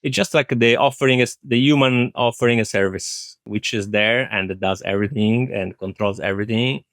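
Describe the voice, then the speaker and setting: A man's voice: fairly low pitch, normal speed, fairly bright tone, dry recording. A man answering interview questions as an IT director, explaining his views earnestly and repeating phrases for emphasis. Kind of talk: thoughtful conversational interview answers.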